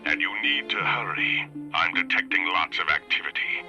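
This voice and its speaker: A man playing a stiff, secretive alien officer in an animated game cutscene, speaking in a formal, measured and evasive way. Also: low-pitched, muffled recording. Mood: urgent. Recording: music behind the voice.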